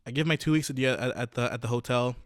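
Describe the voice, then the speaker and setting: A young man in his twenties, a podcast host, telling his own story in a casual, halting way with many restarts and fillers. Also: mid-range voice, fairly even intonation, dry recording, studio quality.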